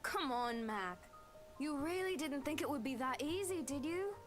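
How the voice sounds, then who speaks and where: A woman's voice: high voice, expressive, normal speed, faint music behind. A woman voicing a vengeful, theatrical ghost woman in a horror game, mocking and accusatory.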